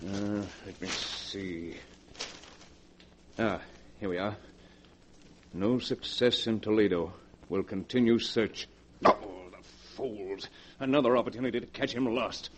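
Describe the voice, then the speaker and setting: A man's voice: lowish voice, a little slow, long pauses, breathy edge. An actor playing a wealthy hunted man, tense, curt and domineering, in 1940s radio horror style. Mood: frustrated, anxious. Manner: curt, commanding. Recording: noisy vintage 1940s radio recording.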